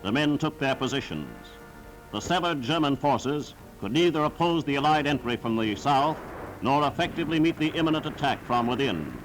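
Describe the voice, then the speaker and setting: A man's voice: mid-range voice, animated, normal speed, faint music behind, rough recording. A man narrating a scripted 1940s wartime newsreel in a solemn, formal, declamatory style, on a vintage film soundtrack.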